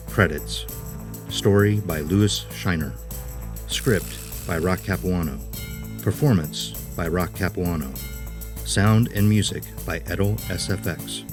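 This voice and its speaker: A man announces the end of an audio drama show in a very deep voice. He reads scripted credits and notices. Music plays clearly behind him.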